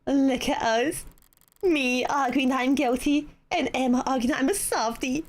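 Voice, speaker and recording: A woman's voice: sits high, moderate pace. A woman voicing a brash female defense attorney, with a theatrical, cocky courtroom delivery in a fan voice acting of a game. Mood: smug.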